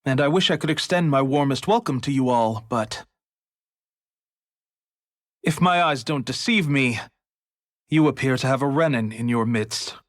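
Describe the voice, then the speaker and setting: A man's voice: medium pitch, no room sound, natural voice. A man playing a wry, guarded rebel leader. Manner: dry, measured, guarded. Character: wary male rebel leader, middle-aged. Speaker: resistance leader. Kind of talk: video game voice acting.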